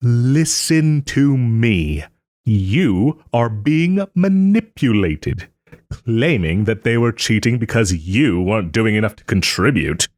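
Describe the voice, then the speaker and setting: A man playing a haughty but tender wronged nobleman in a romantic audio roleplay, speaking theatrically with formal, old-fashioned phrasing and hesitant pauses. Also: mid-range voice, big pitch swings, darkish tone, no echo, studio quality. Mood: incredulous, urgent. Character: wronged young nobleman, haughty, wounded, still tenderly caring.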